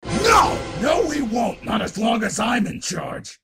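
Masked comic-book trickster, manic, bossy, brash, swooping pitch. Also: male voice, wildly swooping pitch, slow, gravelly, over loud music, heavily acted. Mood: defiant. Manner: brash, exclamatory, wildly swooping. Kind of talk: amateur comic-book dub acting.

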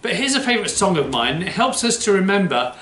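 A middle-aged man chats playfully as a children's presenter. His voice is bright and moves up and down a lot, recorded indoors with noticeable room echo. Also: high voice, fast talker, slight background noise. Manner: animated, playful.

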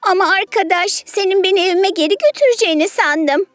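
A woman's voice: extremely high pitch, a little fast, no echo, soft background music, voice pushed up. A woman voicing a naive, easily worried young female goat in a childlike, innocent way for a children's story.

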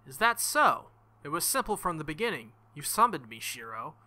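A man voicing an earnest, protective young female knight with formal, measured speech in a visual novel. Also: a little high, very animated, pushed and bright, full character voice. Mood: solemn, explaining.

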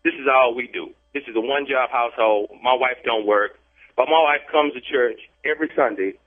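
A man's voice: mid-range voice, brisk. A man in an unscripted phone conversation, protesting and repeating himself over a thin phone line. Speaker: prank call target. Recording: tinny, band-limited phone line.

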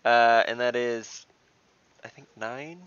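A man's voice: medium pitch, fairly even intonation, takes it slowly, fairly bright tone, clean tone, no room sound. A man playing a tabletop game over a video call, stating his moves and rolls matter-of-factly. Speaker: player. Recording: dull lo-fi video call audio.

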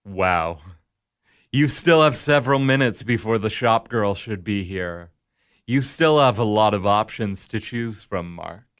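An actor playing the Devil in a radio drama, a smug, needling tempter who talks in a measured, sardonic way. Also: male voice, fairly low pitch, expressive, fairly bright tone, clear, resonant tone. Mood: quietly sly.

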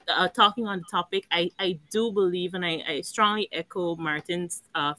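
A woman speaking earnestly on a video call panel, expressive and quick to laugh. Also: lowish voice, dry recording, rough recording. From Guyana. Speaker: panel guest, animation network founder.

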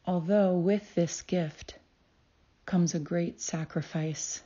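A woman narrating a guided meditation in a calm, soothing way, with deliberate phrasing and long pauses. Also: lowish voice, highs cut off. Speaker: guided meditation host and healer.

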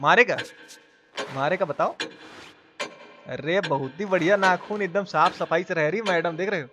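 High-pitched Bihari man, salty gamer talk. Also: moderate pace, over music. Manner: salty, colloquial, swearing. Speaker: game streamer. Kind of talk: casual live gameplay commentary. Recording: clearly noisy background.

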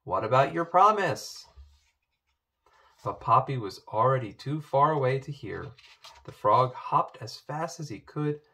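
A man reading a children's storybook aloud, with expressive narration. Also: medium pitch, a little slow. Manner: expressive, animated. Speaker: storybook reader. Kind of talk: children's book read-aloud.

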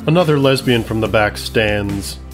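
A man's voice: on the low side, normal speed, music in the background. A man narrating a scripted comedy story in the present tense.

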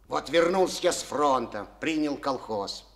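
A man's voice: medium pitch, expressive, measured pace, fairly bright tone, a little hiss or hum. An actor playing a resentful, stubborn collective-farm chairman in a studio radio play, speaking in a folksy way full of proverbs. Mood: bitter.

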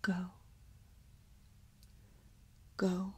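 A woman in her thirties doing a soft-spoken, partly whispered ASMR exam role play, giving slow, gentle instructions close to the microphone. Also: fairly low pitch, monotone. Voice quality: breathy, whispery. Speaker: ASMR performer playing an examiner.

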